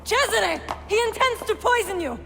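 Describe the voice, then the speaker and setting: A woman's voice: extremely high pitch, animated, normal speed, vocal fry, noisy background, heavily acted. An actress playing an anguished noblewoman, a lovelorn sister pleading dramatically in a video game cutscene. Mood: alarmed. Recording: reverberant hall, music behind.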